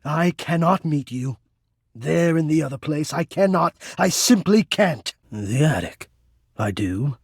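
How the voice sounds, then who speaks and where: Breathy, lovesick, melodramatic shadow spirit. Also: male voice, fairly high pitch, lively intonation, unhurried, no room sound, studio quality, exaggerated voice. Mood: despairing, self-pitying. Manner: intense, theatrical, hesitant formal phrasing. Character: lovesick, anxious, melodramatic male air-vent spirit hiding in shadow. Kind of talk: dramatic romantic video game voice acting.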